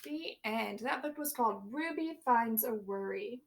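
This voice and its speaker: A woman's voice: fairly high pitch, animated, unhurried, gravelly. A woman teacher reading a picture book aloud to young children, stopping to ask them questions and explain words.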